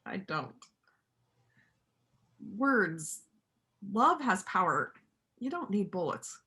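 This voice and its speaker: A woman plays a principled widowed country animal doctor in a stage reading. Her voice is bright and forceful, and she speaks plainly, with earnest, persuasive conviction and gentle firmness. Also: mid-range voice, big pitch swings, drawn-out words, exaggerated voice. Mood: earnest, firm. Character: principled widowed country vet, gentle but firm pacifist. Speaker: amateur church play performer. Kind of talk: reader's theater performance.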